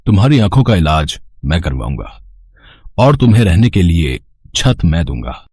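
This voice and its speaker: An actor playing a wealthy, generous gentleman in a moral story cartoon, measured, dignified and reassuring. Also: low voice, very animated, breathy edge, no room sound, clean studio sound, full character voice. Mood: kindly, reassuring.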